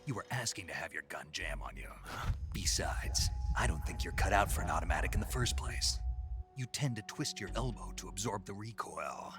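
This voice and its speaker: An actor playing a terse, gruff, battle-hardened American special forces agent in a video game, with a gravelly voice and calm delivery. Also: male voice, low voice, moderate pace. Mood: cool, instructive. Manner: terse, matter-of-fact. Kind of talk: dramatic video game voice acting. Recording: cinematic music behind the voice. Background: game cutscene music.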